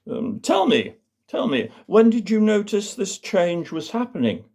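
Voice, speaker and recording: A man voicing a posh English family doctor in a comic story, speaking formally and haltingly in careful euphemisms. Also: sits high, big pitch swings, creaky, darkish tone, pitched above the performer's own voice, heavily acted. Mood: delicate, reassuring.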